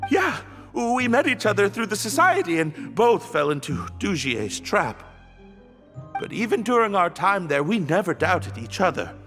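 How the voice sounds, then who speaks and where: A man's voice: high voice, very animated, normal speed, fairly bright tone, long reverb tail, soft background music, exaggerated voice. A man voicing an earnest, bashful young prisoner in a video game, speaking hesitantly and sincerely. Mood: bashful, grateful. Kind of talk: dramatic video game acting.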